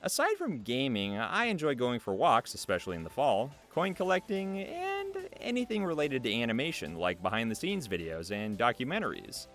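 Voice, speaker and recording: Male interview host, very expressive melody, upbeat scripted read with frequent chuckles, music bed. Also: medium pitch, normal speed, few pauses. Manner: chuckles often between lines. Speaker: host reading an artist's written interview answers. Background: background music.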